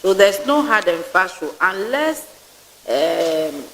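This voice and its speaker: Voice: mid-range voice, sing-song, slow pace, fairly bright tone, slight background noise, low-quality recording. A vetting panelist, asking blunt, probing questions and giving critical remarks. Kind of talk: interview questioning.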